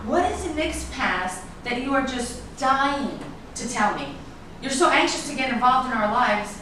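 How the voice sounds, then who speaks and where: A woman's voice: high-pitched, sing-song, a little slow. A woman playing a defiant, guarded actress in a staged drama reading, with a sarcastic, cutting delivery. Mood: challenging. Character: defiant, guarded actress in love with a suspected man. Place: echoey room at a staged reading. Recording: echoey room, distant mic, noisy background.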